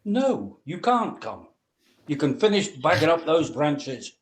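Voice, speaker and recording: Man playing gruff stepfather, domineering, scornful, unhurried delivery, very animated pitch, drama table read. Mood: exasperated, gruff. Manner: scornful, barking orders, drawn-out exasperation. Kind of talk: actor's script table read.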